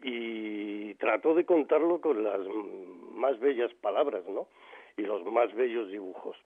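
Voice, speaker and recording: Older guy from granada on a tinny phone line, husky, gravelly, lots of ums and ehs. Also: lowish voice, slow pace. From Granada, Spain. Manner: reflective, many fillers and restarts. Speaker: poet, phone guest. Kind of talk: radio phone interview. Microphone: telephone line. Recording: phone-line radio call.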